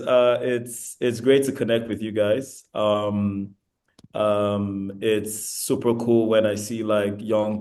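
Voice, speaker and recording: Low, flat-voiced Nigerian man lecturing. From eastern Nigeria. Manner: relaxed, halting, many ums. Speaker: guest speaker. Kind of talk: virtual lecture presentation. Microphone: video call.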